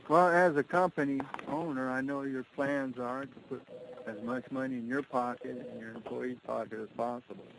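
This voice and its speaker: Gravelly, flat male caller on a noisy line. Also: medium pitch, normal speed. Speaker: investor caller asking questions. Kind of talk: question on a shareholder conference call. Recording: very noisy conference call line.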